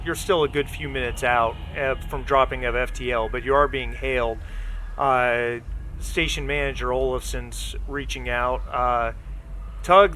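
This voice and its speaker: A man narrating a tabletop game as game master in a halting, conversational way, often correcting himself as he improvises. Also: mid-range voice, sharp tone, slight background noise.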